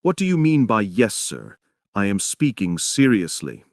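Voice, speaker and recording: A man's voice: fairly low pitch, wildly swooping pitch, measured pace, a little mellow, no room sound, clean studio sound, exaggerated voice. A man playing a stern, earnest doctor, grave and admonishing, in a dramatic reading of a stage play. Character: stern, earnest, scolding male doctor Lvov.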